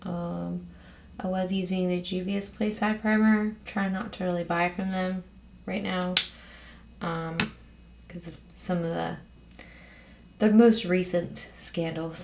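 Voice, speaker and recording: A woman with a hoarse, raspy voice, chatting casually as host of her own get-ready-with-me vlog at home, rambling with frequent ums. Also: lowish voice, slight background noise. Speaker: beauty vlogger host.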